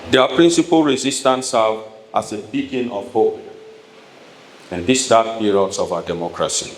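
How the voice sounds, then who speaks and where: A man with a Sierra Leonean accent delivers a formal prepared political speech as a politician, speaking emphatically and deliberately in measured phrases. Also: on the low side. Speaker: politician addressing a town hall. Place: echoey hall at a town hall event. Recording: echoey room with noticeable background noise.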